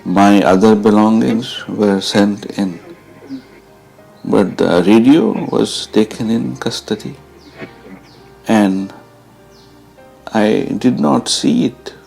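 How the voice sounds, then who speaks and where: A man reading a memoir as dramatised narration, measured and deliberate, pausing between phrases. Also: fairly low pitch, creaky, a little mellow, soft background music, low-quality recording.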